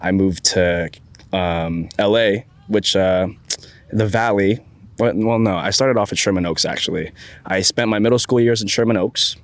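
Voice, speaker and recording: A man's voice: lowish voice, normal speed, no room sound. A young man who grew up in the Philippines, a college student chatting as a guest in a laid-back, slangy way. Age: young adult. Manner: laid-back, slangy, says dude and bro a lot. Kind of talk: casual chat.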